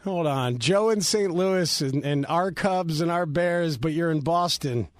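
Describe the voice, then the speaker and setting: A man's voice: on the high side, a little slow, no echo. A man co-hosting a sports radio show, talking casually.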